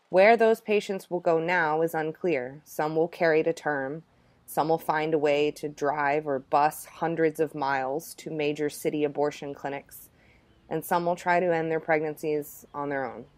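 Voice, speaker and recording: A woman reporter reading a scripted documentary narration in a measured, even way. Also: deep voice.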